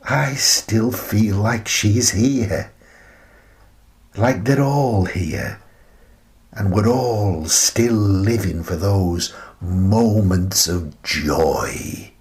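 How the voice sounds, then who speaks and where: Man playing a slow, grieving old widower. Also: fairly low pitch, expressive, exaggerated voice. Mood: wistful, tender. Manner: slow, halting, reflective delivery. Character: bereaved old widower, tender, wistful, living in playhouse. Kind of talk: radio play monologue.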